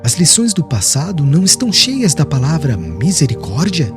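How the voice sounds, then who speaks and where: A man's voice: a little high, wildly swooping pitch, normal speed, music in the background. A man voicing a serene, devout young preacher in an audiobook, eloquent and formal, declaiming with solemn firmness. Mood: solemn exhortation.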